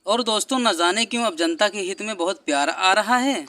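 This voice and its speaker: A man's voice: high voice, brisk, bright, forceful tone. A man delivering scripted, rhyming satirical narration in a mocking manner. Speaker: narrator of political troll video.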